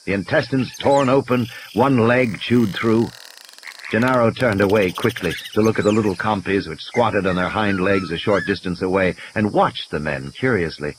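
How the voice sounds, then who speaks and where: A man's voice: on the low side, slight background noise, poor audio. A man reading scripted dramatic story narration as an audiobook narrator.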